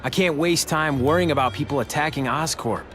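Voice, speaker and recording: A man's voice: fairly high pitch, a little fast, fairly bright tone, faint music behind, close to an everyday voice. An actor playing a young male tech heir, friendly but busy and a bit distracted.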